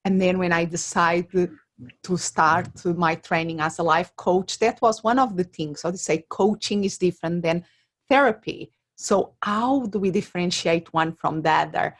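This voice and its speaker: A woman's voice: lowish voice, moderate pace. A middle-aged woman with a Portuguese accent, speaking as an interview guest and explaining things conversationally through examples and personal stories. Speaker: interview guest, career coach.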